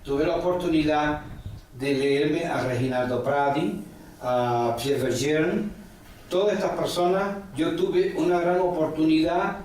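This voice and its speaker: A man's voice: a little high, measured pace, a little mellow, distant mic, slight background noise. A man, answering interview questions in long rambling stories full of quoted talk and "ujú" fillers. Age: 40s. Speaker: interview guest, religious elder. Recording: echoey room, a bit off-mic, faint background.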